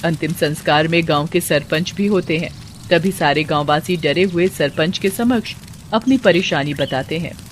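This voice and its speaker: A woman's voice: sits low, normal speed, a little hiss or hum. A woman giving scripted narration of a story as its narrator.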